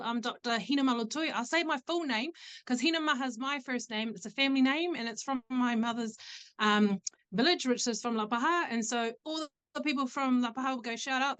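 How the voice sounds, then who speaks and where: Chatty New Zealand woman, higher voice. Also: fairly quick, a bit bright, clear, resonant tone. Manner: chatty, laughs often, fillers. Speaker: guest doctor. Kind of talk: casual interview.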